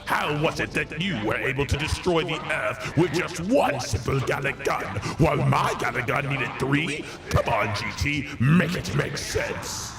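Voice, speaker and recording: Man voicing a gravelly, gloating giant ape villain. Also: mid-range voice, animated, unhurried, few pauses, echoey room. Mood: boastful. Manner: theatrical taunting with cackles and shouts. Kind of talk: improvised in-character game commentary. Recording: music behind.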